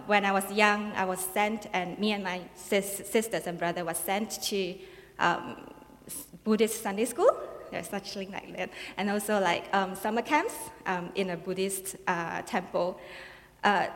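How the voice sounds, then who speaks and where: A young woman from Malaysia giving her personal testimony to an audience, talking quickly with many fillers. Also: mid-range voice, fairly bright tone. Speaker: testimony speaker. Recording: long hall reverb.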